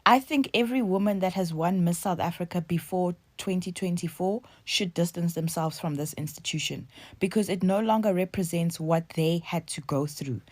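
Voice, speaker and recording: A woman's voice: lowish voice, moderate pace, a little mellow, dry recording. A woman hosting a commentary channel, reacting decisively to a comment. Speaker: host.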